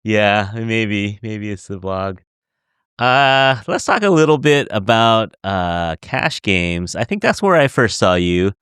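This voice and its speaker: A man's voice: lowish voice, animated, slow pace, fairly bright tone, clear, resonant tone, no room sound, studio quality. A man hosting a podcast interview, chatty and giggly, asking long rambling questions. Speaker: podcast host. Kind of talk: casual interview.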